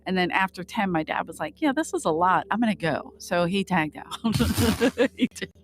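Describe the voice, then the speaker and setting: A woman's voice: fairly low pitch, lively intonation, moderate pace, background noise. Middle aged american lady on a podcast telling stories, sweary, acts out the dialogue, chatty. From Philadelphia, United States. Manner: laughs often, swears freely, acts out quoted dialogue. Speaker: podcast guest, performer. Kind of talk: casual chat, animated storytelling.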